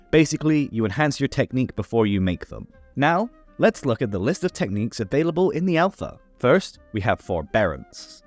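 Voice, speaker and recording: Guy doing a tabletop rules explainer, really animated delivery, bit of music behind him. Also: mid-range voice. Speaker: host of a tabletop game tutorial series. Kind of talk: scripted explainer narration.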